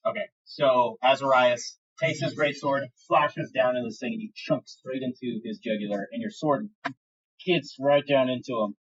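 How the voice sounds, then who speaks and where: A man improvising narration as game master of a tabletop fantasy game, describing the action in halting, vivid detail. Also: mid-range voice, breathy edge, highs cut off.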